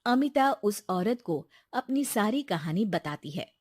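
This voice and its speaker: A woman storyteller narrates a scripted moral tale. Her pitch sits in the middle range for a woman, and the sound is dry and close, with very little room in it. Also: expressive, unhurried, darkish tone, dry recording.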